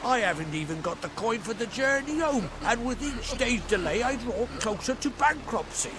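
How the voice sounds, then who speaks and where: An actor playing a desperate, polite shipwrecked merchant in a fantasy video game, earnest and formal, with ambient noise behind. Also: male voice, very high-pitched, expressive, normal speed. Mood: desperate, pleading.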